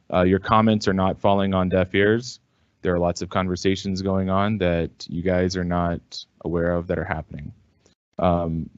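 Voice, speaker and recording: A man school board member giving remarks at a meeting in a measured way, with hesitant fillers. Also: fairly low pitch, darkish tone, dry recording, lo-fi sound.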